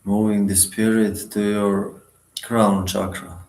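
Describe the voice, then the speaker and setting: A man leading a guided meditation, giving instructions in short, sparse phrases with long pauses between them. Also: lowish voice, flat delivery, a little mellow, roomy sound, low-quality recording.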